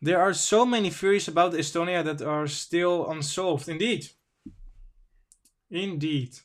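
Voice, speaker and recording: Halting man, English not his first language. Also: fairly high pitch. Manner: halting, self-correcting, reads slowly and stumbles. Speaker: livestream host. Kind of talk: casual livestream chat, reading articles aloud.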